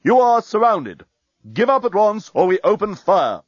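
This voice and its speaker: A man voicing a menacing enemy space fleet commander, loud, declamatory and imperious, in an audiobook story. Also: sits high, big pitch swings, moderate pace, no echo, lo-fi sound, voice pushed up, exaggerated voice. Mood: booming menace.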